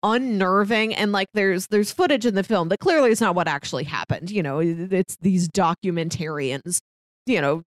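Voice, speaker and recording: A woman's voice: medium pitch, moderate pace, no room sound. Woman chatting reflectively, dry close sound. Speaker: podcast co-host reviewing films. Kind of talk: casual conversational film discussion.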